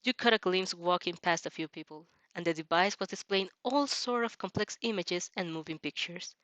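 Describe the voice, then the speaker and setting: A woman's voice: lowish voice, normal speed, fairly bright tone, dry recording, poor audio. A woman narrating a story in an informal way, stumbling now and then and slipping into casual joking asides. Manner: informal, stumbles over words and jokes about it. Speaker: host reading a fan fiction aloud with reactions.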